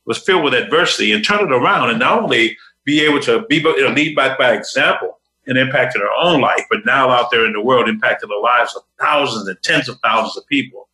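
A man's voice: mid-range voice, brisk, pushed and bright, poor audio. A man hosting a motivational talk show, speaking in an upbeat, preacher-like way.

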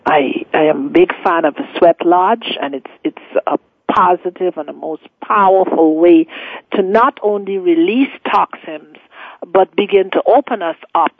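A woman from Guyana hosting a radio spirituality course, speaking off the cuff in a deliberate, measured way with emphatic pauses. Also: on the low side, no room sound. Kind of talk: unscripted radio talk answering listener questions. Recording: phone-like, narrow-band radio line.

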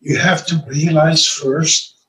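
A man from Curaçao with a Curaçaoan accent, Papiamento his first language, answering interview questions in a measured way with many fillers. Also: fairly high pitch, fairly even intonation, sharp tone, reverberant, far from the mic, rough recording. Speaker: wellness retreat organizer.

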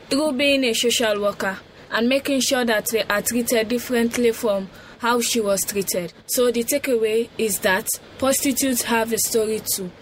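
A woman from Leone, reading a prepared film response formally. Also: a little high. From Sierra Leone. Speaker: student guest.

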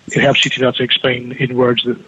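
A New Zealand man speaks quickly with a bright, slightly husky tone. His voice comes over a dull, lo-fi remote line. He restarts phrases often as he explains. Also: mid-range voice, completely flat delivery, no echo. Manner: fast, with frequent restarts and fillers. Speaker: guest. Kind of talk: remote radio interview. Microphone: remote call with slight lag.

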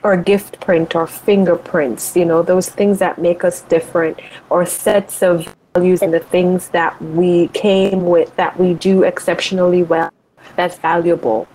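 Earnest motivational woman, lowish voice. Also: level tone, a little slow, mellow, slight background noise, low-quality recording. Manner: earnest, motivational, thinks aloud with restarts. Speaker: guest, life and money coach. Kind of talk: reflective interview talk.

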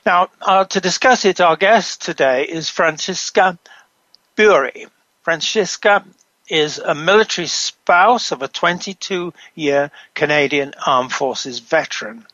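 Measured man hosting a talk show. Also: on the high side, bright, forceful tone, dry recording. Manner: measured, reading from a script. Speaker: host. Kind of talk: scripted talk show introduction. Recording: muffled, dull, dry sound.

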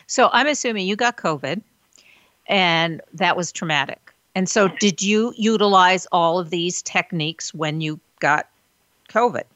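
A woman's voice: lowish voice, measured pace, fairly bright tone, no room sound. A woman hosting an interview, asking hesitant, searching questions. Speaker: interviewer, host. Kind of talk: remote interview. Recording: dull, dry remote audio.

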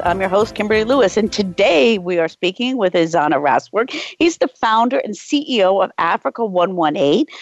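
A woman's voice: mid-range voice, no echo. A woman hosting a radio interview show, talking in a friendly, conversational way. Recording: dry, slightly dull radio studio sound.

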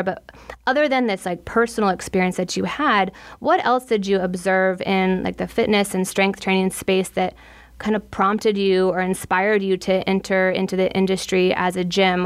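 A woman's voice: medium pitch, steady tone, normal speed. A woman with a mid-range voice, hosting a podcast interview. She is eager and effusive, and her questions ramble and circle back on themselves. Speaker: podcast host. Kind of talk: casual interview.

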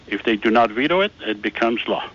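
A man being interviewed on a radio talk show, patiently explaining his plan in halting speech full of "uh"s. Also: lowish voice, expressive, a bit bright. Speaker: guest, founder of a peace initiative. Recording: slightly dull lo-fi radio sound.

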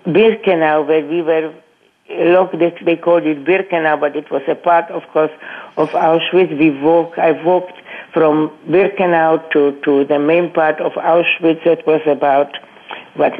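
An older woman tells her story slowly and haltingly, circling back and restarting her sentences. Her English is not native, and the grammar slips now and then. The sound is thin and tinny, as if heard over a phone line. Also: low voice. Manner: halting, with many restarts and repeated words. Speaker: Holocaust survivor guest. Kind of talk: personal testimony in an interview.

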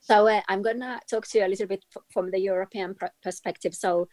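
A woman from Finland, a trend forecaster talking as an interview guest, measured and full of hesitations. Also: medium pitch, steady tone, a little mellow, no room sound. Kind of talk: casual interview.

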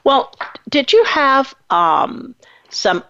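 A woman hosting an interview, asking long, winding questions and offering steady affirmations. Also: high-pitched, very slow. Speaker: host. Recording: dull lo-fi line.

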